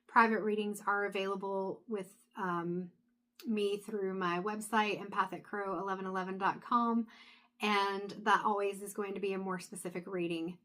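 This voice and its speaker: A woman giving a casual intuitive tarot reading to camera, chatty and thinking aloud as she goes, her voice going hoarse and breaking into throat clears. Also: mid-range voice, little pitch movement, normal speed, fairly bright tone. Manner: chatty, thinks aloud, frequent fillers and restarts. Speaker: tarot reader.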